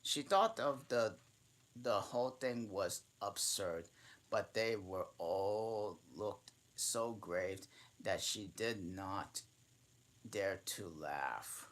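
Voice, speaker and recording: Slow, halting man reading a storybook. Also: on the low side, animated, fairly bright tone. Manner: halting, restarting and correcting himself mid-sentence. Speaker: channel host reading aloud. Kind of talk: reading a children's classic aloud.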